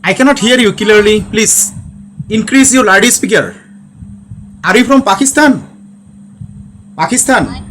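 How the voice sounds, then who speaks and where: Man repeating questions in halting English. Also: sits high, big pitch swings, fairly bright tone, a little hiss or hum. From Bangladesh. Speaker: live-stream chat participant. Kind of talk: casual live-stream chat.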